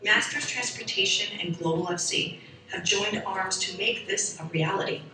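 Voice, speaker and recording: A woman's voice: mid-range voice, normal speed, pushed and bright. A woman who founded a youth soccer program, speaking earnestly in a documentary interview. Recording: echoey, from far off, with music.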